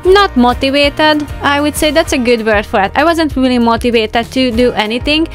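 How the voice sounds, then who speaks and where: A woman in her thirties narrating a homemaking vlog in a relaxed, chatty voice-over with fillers and light laughs. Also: high-pitched, moderate pace, soft background music. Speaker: homemaking vlogger.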